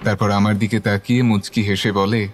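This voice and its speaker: A man's voice: fairly low pitch, level tone, brisk, noisy background. A man giving scripted, dramatic narration of a horror story.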